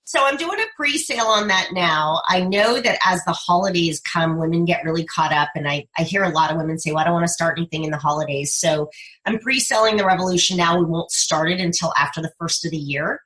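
A woman in her fifties speaks as a podcast guest, persuasive and emphatic. Her tone is bright and forceful, and she pushes her points home with lively conviction. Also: fairly low pitch, brisk, poor audio. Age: 50s. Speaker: guest, speaker and brand coach. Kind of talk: podcast interview conversation.

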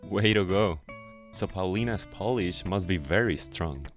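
A man's voice: sits low, a little slow, faint music behind. A man in his forties, the podcast's producer, chiming in with brief, prompting lines. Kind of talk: scripted co-host banter.

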